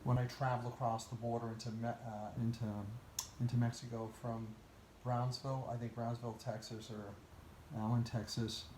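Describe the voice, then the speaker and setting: Man from Portugal, even flat delivery, measured with pauses, echoey room, faint noise. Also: mid-range voice. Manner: measured, lists places and reasons, with many "uh" pauses. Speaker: interviewee. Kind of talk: casual conversation.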